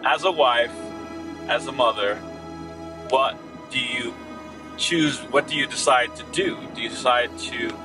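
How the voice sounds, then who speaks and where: A man co-hosting a family vlog, explaining his views in a measured, deliberate way. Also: medium pitch, distant mic, over music. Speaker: vlog co-host. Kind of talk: casual chat.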